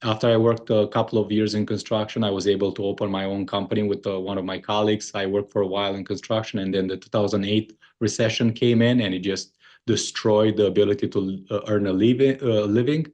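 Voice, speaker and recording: A middle-aged man from Romania with a Romanian accent, speaking earnestly as an interview guest, often pausing on "uh" and restarting his sentences. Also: on the low side, flat delivery, a little mellow. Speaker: guest, moving company founder. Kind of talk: conversational interview.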